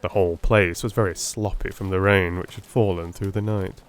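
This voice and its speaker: A man narrating an audiobook in a dramatic, measured, literary style. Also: low-pitched, steady tone, clear, resonant tone.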